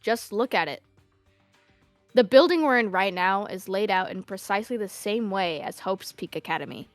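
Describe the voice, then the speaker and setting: A woman in an amateur anime fan dub playing an aloof, composed teenage girl who speaks in a measured, curt way. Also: mid-range voice, expressive, no room sound, faint music behind, lightly acted. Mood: cool, detached. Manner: measured, curt, matter-of-fact.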